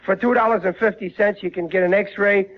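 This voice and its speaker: A man acts out a blustering, gullible middle-aged American dad in an old radio comedy. His voice sits high, and he stammers with exasperation and mangled grammar. The recording is muffled like an old broadcast. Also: steady tone, a little fast, natural voice. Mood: earnest, flustered. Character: blustering, gullible working-class family father. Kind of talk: 1940s radio comedy acting.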